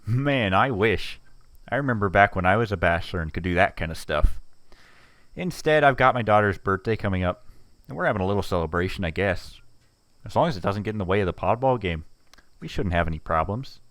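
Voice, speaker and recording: A man's voice: lowish voice, expressive, a little fast, fairly bright tone, dry recording. A man playing an easygoing working-man mercenary in a sci-fi audio drama, casual and teasing in his talk. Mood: casual, resigned.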